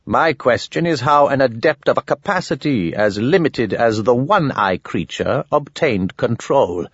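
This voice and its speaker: A man's voice: mid-range voice, expressive, a little slow, husky, no room sound, lo-fi sound. A man voicing a wary, self-important old wizard with formal, pedantic speech in an audiobook. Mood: grave, boastful.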